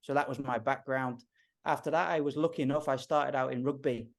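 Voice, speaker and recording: A man's voice: medium pitch, a little fast, vocal fry. Male guest, thirties, Liverpool accent, friendly and easygoing. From Liverpool, England. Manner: friendly, relaxed storytelling. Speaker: strength coach, physio. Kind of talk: interview guest.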